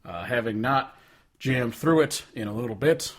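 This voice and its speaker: A man's voice: mid-range voice, expressive, normal speed, a bit bright. A man chatting casually as a podcast co-host, blunt and joking, with plenty of swearing. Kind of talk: casual chat.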